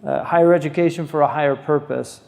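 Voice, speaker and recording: Man speaking in an echoey hall, gravelly. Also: fairly high pitch, fairly even intonation, darkish tone. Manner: halting, many pauses and fillers. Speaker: host introducing a guest scholar. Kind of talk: unscripted introductory talk at a lecture. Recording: echoey hall, distant mic.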